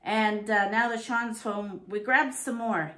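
A woman's voice: mid-range voice, steady tone, a little slow. Chatty woman reading grocery prices. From Canada. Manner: chatty, reads prices aloud, thinks out loud. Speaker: vlogger. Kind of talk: casual grocery haul chat. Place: home kitchen. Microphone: fixed camera at table.

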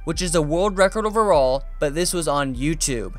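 A man narrating a countdown list video in an energetic, chatty way, often addressing viewers as "you guys". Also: a little high, moderate pace. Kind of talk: fast upbeat countdown narration.

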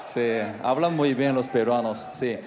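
A man from Korea speaking Spanish with a Korean accent as a non-native speaker, doing stand-up with halting phrasing, lots of fillers and sudden shouted outbursts. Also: mid-range voice, a loud crowd, very noisy. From South Korea. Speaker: stand-up comedian. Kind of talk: stand-up comedy set. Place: large theater stage. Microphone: handheld mic. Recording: live hall reverb with audience.

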